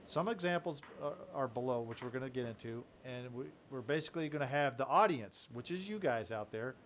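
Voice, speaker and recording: A man's voice: medium pitch, brisk, dull audio. Host, male, casual and chatty, headset on a call, faint hiss behind. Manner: chatty, relaxed, frequent fillers. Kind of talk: casual group video call chat.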